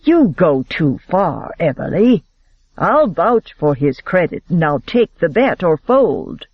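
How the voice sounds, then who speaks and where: A man's voice: a little high, big pitch swings, slow, creaky, husky, no echo, full character voice. A man voicing a stern, authoritative duke who snaps out commands. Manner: commanding, snapping. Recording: dull lo-fi audiobook audio.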